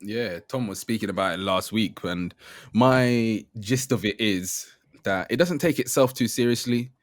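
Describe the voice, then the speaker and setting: A man's voice: on the low side, a little slow, no room sound, clean studio sound. A man in his twenties hosting a casual games podcast, asking questions and laughing often. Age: 20s. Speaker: podcast host.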